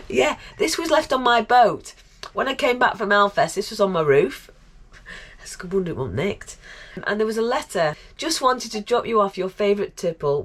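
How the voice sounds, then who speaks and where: A woman vlogging about her life on a narrowboat, chatty and self-mocking, laughing often and sounding bunged up with a cold. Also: medium pitch. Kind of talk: casual vlog chat. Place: aboard and around a narrowboat on a canal.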